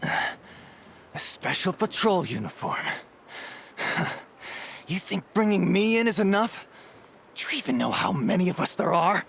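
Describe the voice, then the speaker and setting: A man's voice: high voice, animated, measured pace, vocal fry, fairly bright tone, husky, exaggerated voice. A man playing a captured criminal agent in a video game, blustering, pained and scornful. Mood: defiant. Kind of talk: video game character dialogue.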